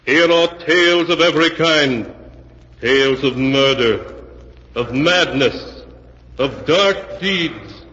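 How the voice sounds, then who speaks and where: Slow, theatrical male radio narrator. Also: mid-range voice, pushed and bright. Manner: solemn, theatrical, measured. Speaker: announcer and narrator. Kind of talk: dramatic old-time radio scripted narration. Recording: muffled old radio, long reverb, music behind.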